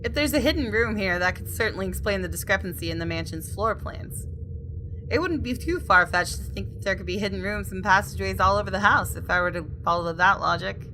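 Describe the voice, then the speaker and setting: A woman gaming host reading visual novel narration aloud in an expressive, storytelling way with casual asides. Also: medium pitch, a little fast, a little hiss or hum, low-quality recording.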